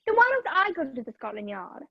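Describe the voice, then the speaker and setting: A feisty young radio-play heroine with a high voice, acted by a woman. She is sharp-tongued but willing to help. The recording is close, dry and a little muffled. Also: sing-song, fast talker, dry recording. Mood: indignant. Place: video call, dry room. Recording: muffled video-call audio.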